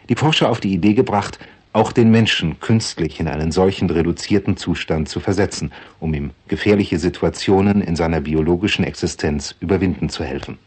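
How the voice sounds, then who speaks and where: A man giving a measured, lecturing scripted introduction to a radio play. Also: low voice, a little mellow, husky. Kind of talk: scripted explanatory narration.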